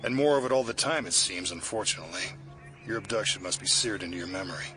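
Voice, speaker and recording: A man plays a weary senior investigator in an audio drama. The voice is fairly low, plainspoken and wry, with music clearly behind it. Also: fast, close to an everyday voice. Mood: concerned. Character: concerned, weary senior male federal investigator. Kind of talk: immersive audio drama acting.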